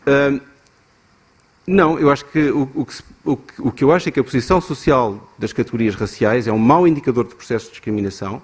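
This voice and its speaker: A man with a European Portuguese accent presenting an academic argument at a conference, measured and argumentative, with some hesitations. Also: mid-range voice, husky. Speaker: conference speaker.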